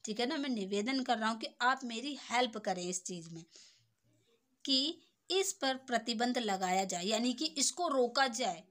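High-pitched young woman tutoring. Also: animated, normal speed, a bit bright. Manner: explanatory, repeatedly checking understanding with tag phrases. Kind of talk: informal lesson explaining a handwritten letter.

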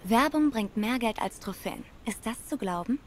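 A poised young cat woman in a noir video game. She is sardonic and cool, quick with a barb. Light ambient noise sits behind her. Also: on the high side, expressive. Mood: sardonic, guarded. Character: poised, sharp-tongued young female cat tennis star. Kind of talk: cinematic noir game acting.

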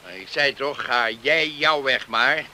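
A man's voice: medium pitch, lively intonation, measured pace, sharp tone. An actor playing a stern, proud, middle-aged village father in a radio play, curt and unyielding. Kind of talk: Dutch radio play acting.

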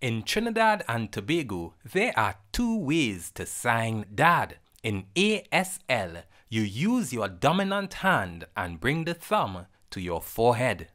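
Very slow, lively-pitched instructional voice. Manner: instructional, simple short phrases. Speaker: presenter teaching sign words. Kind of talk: sign language lesson narration for children.

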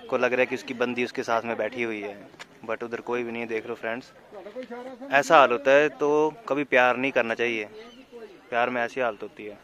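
Teasing man with a flat delivery. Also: medium pitch. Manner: mocking, teasing. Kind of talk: joking vlog commentary. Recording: music behind the voice. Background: music.

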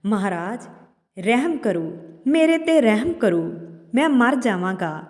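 A woman's voice: fairly high pitch, sing-song, a little slow, a little mellow. A woman voicing a servile, frightened male village healer in a story, deferential and pleading. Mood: fearful, pleading. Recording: long hall-like reverb on voice.

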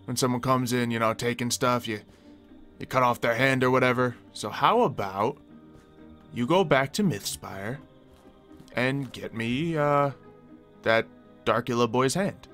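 A man's voice: mid-range voice, measured pace, long pauses, a bit bright, lightly acted. Man acting a sardonic suspicious werewolf, game roleplay, casual, blunt, dry delivery, faint background music. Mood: stubborn. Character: stubborn, suspicious, sardonic male werewolf guarding his territory.

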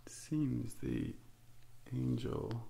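A man in his thirties doing a soft-spoken, whispered ASMR role play as a calm, controlling devil, with a breathy gravelly voice and a deliberate hypnotic manner, close to a binaural microphone. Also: mid-range voice. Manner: slow, deliberate, hushed, hypnotic guiding. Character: the Devil, suave male tempter, calm and controlling. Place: dark indoor studio, close up.

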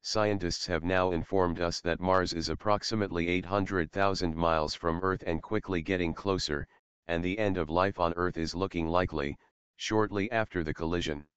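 A man's voice: deep voice, fairly even intonation, moderate pace, fairly bright tone, clean tone, dry recording. A man reading a scripted emergency news bulletin as an announcer, on slightly dull audio.

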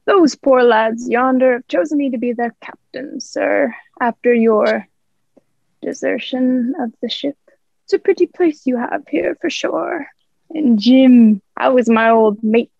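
A woman's voice: sits high, unhurried, creaky, a little mellow, dry recording, highs cut off, full character voice. A young woman playing a wily, menacing male pirate captain in an amateur radio play, with drawling rustic pirate speech. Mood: sly.

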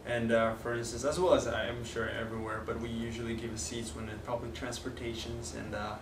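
A man's voice: mid-range voice, flat delivery, fairly quick. A young man from Kazakhstan with a Kazakh accent, a native Kazakh speaker, talking in a casual, hesitant way with many fillers and restarts as he gives a video lesson. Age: young adult. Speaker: language teacher. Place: classroom with whiteboard, indoors. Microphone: far camera. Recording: echoey room, distant mic, noisy.

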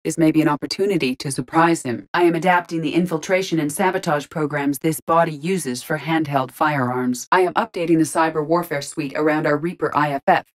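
An actress voices a female starship artificial intelligence from a sci-fi video game, living in an android body. She is logical and composed, choosing formal words and placing each one with measured precision. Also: deep voice, lightly acted. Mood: composed, analytical. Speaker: video game character voice actor. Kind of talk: scripted game dialogue, isolated voice lines.

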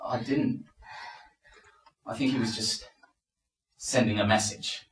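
A man's voice: on the low side, fairly even intonation, takes it slowly. A young man playing a defiant, exasperated character in a stage play. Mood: uneasy. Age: young adult. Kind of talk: theatrical stage acting. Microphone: distant camera microphone. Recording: echoey stage, distant mic, loud background sound.

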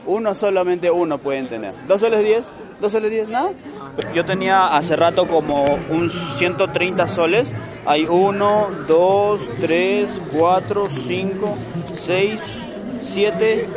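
A young man filming a street challenge vlog at night on a busy city street, coaxing strangers with an upbeat manner and laughing often. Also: high voice. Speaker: street vlogger. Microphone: handheld camera following him. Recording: crowded street noise. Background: crowd chatter and passing traffic.

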